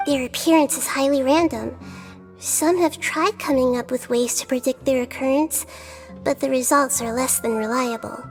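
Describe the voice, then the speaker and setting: A woman voicing a small, gentle, wise childlike goddess in a video game, composed and articulate, with a soft girlish voice. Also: high-pitched, steady tone, moderate pace, faint music behind. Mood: thoughtful. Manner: composed, articulate, kindly explaining.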